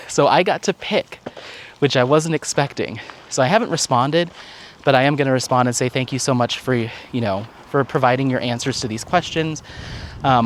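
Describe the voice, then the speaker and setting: Male content creator, medium pitch, conversational and upbeat, talking off the cuff while out walking, audible noise behind the voice. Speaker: craft vlogger and content creator. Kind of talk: casual chat, walk and talk update. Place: outdoors on a walk.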